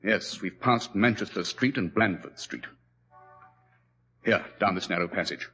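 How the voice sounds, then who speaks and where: An actor playing a cool, commanding middle-aged detective in 1940s radio drama style, brisk and clipped. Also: male voice, deep voice, pushed and bright, breathy edge, exaggerated voice.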